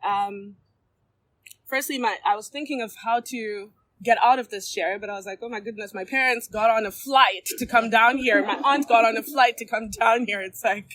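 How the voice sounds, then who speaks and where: A woman in her thirties speaking candidly at a recovery meeting, halting often with ums and restarts as she addresses a circle of people in the room. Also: on the high side, a bit bright. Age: 30s. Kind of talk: personal share at a recovery meeting.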